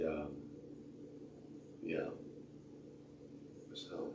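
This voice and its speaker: A hoarse man losing his voice, hosting his own channel in a rambling, casual way. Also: sits low. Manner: rambling, full of fillers and restarts. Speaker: channel host. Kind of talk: casual rambling vlog talk. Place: indoors in a room. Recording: echoey room, mic at a distance, faint noise.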